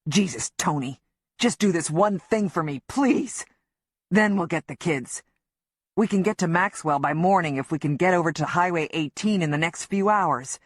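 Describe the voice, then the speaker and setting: A woman's voice: fairly low pitch, moderate pace, no echo, clean studio sound, natural voice. A woman voicing a desperate, menacing man on the run. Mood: pleading. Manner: threatening, snarling. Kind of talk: audiobook narration.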